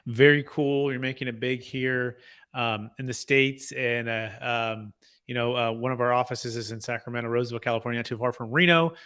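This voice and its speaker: A man's voice: mid-range voice, steady tone, brisk, a bit bright, clean tone. A man hosting a podcast interview, upbeat and encouraging toward his guest. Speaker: podcast host.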